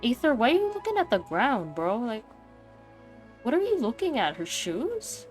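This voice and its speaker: A woman's voice: a little high, huge pitch swings, normal speed, soft background music. A young adult woman giving casual, slangy reaction commentary while playing a game. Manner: informal, slangy asides. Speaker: game streamer.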